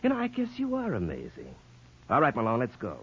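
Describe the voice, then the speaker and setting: An actor playing a wisecracking, needling police lieutenant with sarcastic banter, in a 1950s radio drama. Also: male voice, medium pitch, wildly swooping pitch, fairly quick, gravelly, music in the background. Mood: sardonic, needling. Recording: muffled old radio recording.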